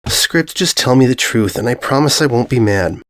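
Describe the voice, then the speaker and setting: A man's voice: mid-range voice, lively intonation, normal speed, fairly bright tone, close to an everyday voice. Man voicing a flustered, jealous young mare pony. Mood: suspicious. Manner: stammering, sarcastic.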